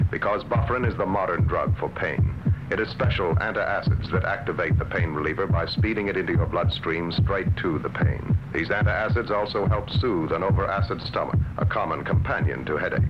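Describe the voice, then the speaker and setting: An American man reading serious scripted narration for a vintage medicine commercial, on an old television recording with a throbbing backing. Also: low-pitched. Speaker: commercial announcer. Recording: dull old TV advert, loud backing.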